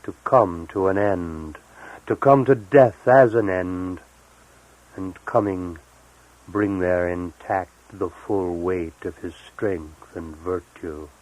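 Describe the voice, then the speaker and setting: A man gives a poetry reading in a very deep voice. His pace is very slow, and he sets each phrase apart with deliberate pauses. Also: a little mellow, poor audio. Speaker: poet. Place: live recorded reading, 1978.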